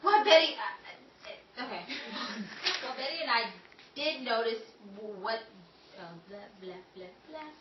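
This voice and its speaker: A woman's voice: on the high side, lively intonation, a little slow, background noise. A woman performer rehearsing scripted radio lines, with stumbles and asides. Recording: echoey room, distant mic, muffled sound.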